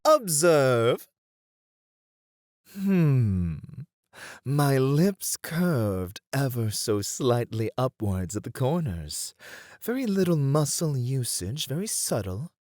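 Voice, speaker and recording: A performer playing a poised, playful etiquette tutor in a scripted ASMR roleplay, speaking with refined, deliberate phrasing. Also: medium pitch, sing-song, a little mellow, no echo, studio quality. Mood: intent, coaxing.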